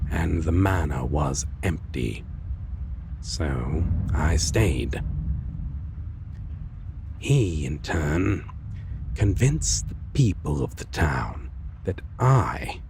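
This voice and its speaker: A brooding, tender vampire played by a man in a romantic audio drama, over clearly audible background noise. The voice is extremely slow and full of gravelly fry, with halting, breathy pauses and soft, weary endearments. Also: very deep voice, heavily acted. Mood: wry, fond. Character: brooding centuries-weary male vampire, tender former vampire hunter.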